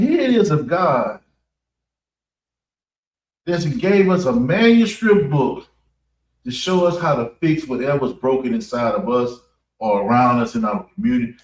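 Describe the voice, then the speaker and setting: An American man giving an off-the-cuff religious address, halting and repeating phrases for emphasis. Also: high voice, lively intonation. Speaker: preacher giving a public address at a mosque. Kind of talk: extemporaneous religious talk. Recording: echoey room, distant mic.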